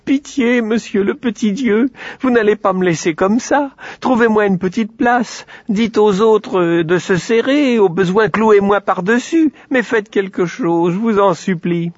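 Man doing a whiny piglet, high and squeaky. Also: a little slow, no room sound, muffled recording. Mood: pleading. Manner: whining, pleading, sulky. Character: whiny greedy little male piglet.

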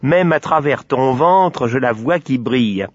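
A man's voice: medium pitch, animated, unhurried, no echo, lo-fi sound, pitched above the performer's own voice. A man voicing the sun as a firm, kindly and self-assured visitor in a children's story. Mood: stern.

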